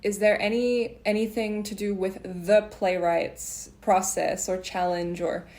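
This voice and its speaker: A woman's voice: mid-range voice, fairly even intonation, unhurried, darkish tone. A young woman hosting a casual interview, encouraging and appreciative as she talks. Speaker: podcast host.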